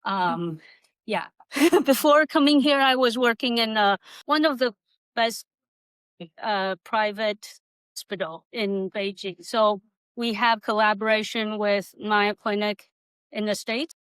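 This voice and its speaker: A woman's voice: mid-range voice, takes it slowly, a bit bright, clear, resonant tone, no echo, poor audio. A middle-aged woman from Taiwan giving an informal workshop talk, rambling and conversational, with non-native English grammar. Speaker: workshop presenter, acupuncturist.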